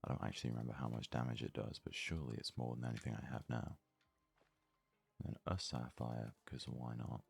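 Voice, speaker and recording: Very low, creaky, hushed ASMR man. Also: steady tone. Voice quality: very soft, hushed, breathy near-whisper. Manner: unhurried, murmured thinking aloud. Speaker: gaming video host. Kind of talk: ASMR let's play commentary, casual.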